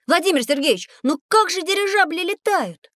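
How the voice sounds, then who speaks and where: An actress playing a curious, easily amazed child in an educational audio drama, eager and inquisitive. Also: female voice, extremely high pitch, expressive, normal speed, fairly bright tone, dry recording, studio quality.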